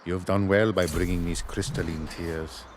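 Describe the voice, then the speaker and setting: A man's voice: deep voice, fairly even intonation, moderate pace, slight background noise, lightly acted. A man voicing a solemn, mournful figure in a video game, speaking with portentous gravity. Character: solemn, mournful male collector of tears. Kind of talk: scripted video game quest dialogue.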